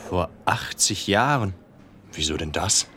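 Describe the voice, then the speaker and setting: Low-voiced, helpful history teacher. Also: male voice, lively intonation, measured pace, sharp tone, a little hiss or hum, full character voice. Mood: calm, helpful. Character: Herr Tanner, helpful, calm history teacher. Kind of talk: children's radio detective play dialogue.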